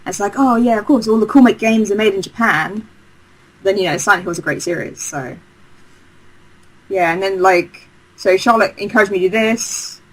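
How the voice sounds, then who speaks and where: A woman's voice: medium pitch, normal speed, fairly bright tone, rough recording. A woman, telling her career story in a chatty, rambling way, full of fillers, restarts and enthusiasm. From Essex, England. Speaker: game designer guest. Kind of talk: casual interview chat.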